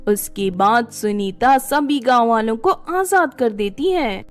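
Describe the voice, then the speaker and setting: Woman, very high voice, scripted story narration, faint music behind. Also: expressive, slow.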